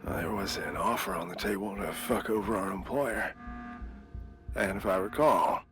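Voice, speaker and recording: A man's voice: sits low, normal speed, a bit bright, a little hiss or hum. An actor playing a wry, defiant and teasing man in a video game drama, with a sardonic, nonchalant delivery. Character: wry, defiant male colleague, injured but unbothered, teasing.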